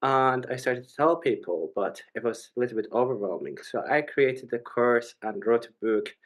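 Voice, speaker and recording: A man's voice: mid-range voice, expressive, normal speed. A man introducing himself as a panel guest on a video call in a chatty, storytelling way. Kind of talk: casual self-introduction.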